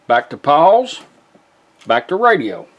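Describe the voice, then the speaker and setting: A man's voice: on the high side, huge pitch swings, slow pace, lo-fi sound, soft background music. A man casually walks through a hands-on product demonstration in folksy, step-by-step fashion. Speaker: presenter demonstrating a car radio.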